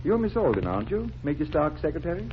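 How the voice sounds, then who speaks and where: Casting: a commanding, determined detective, very animated intonation, vintage radio drama, dull noisy recording. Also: male voice, fairly high pitch, quick pace. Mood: firm, sympathetic. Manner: insistent, authoritative. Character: brusque, determined adult male magician-detective. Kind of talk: 1940s radio drama acting.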